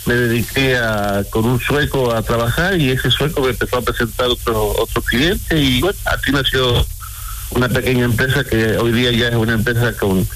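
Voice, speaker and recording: A man's voice: mid-range voice, moderate pace, fairly bright tone. A man in his sixties with an Argentine accent, chatting casually as a caller and repeating words as he explains. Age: 60s. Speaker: radio caller, builder. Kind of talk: casual phone-in chat. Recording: noisy radio phone-in call.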